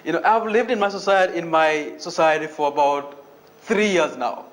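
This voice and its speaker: Measured young man, slightly high voice. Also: poor audio. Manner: measured, many "you know" fillers. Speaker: student association president. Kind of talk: panel interview remarks.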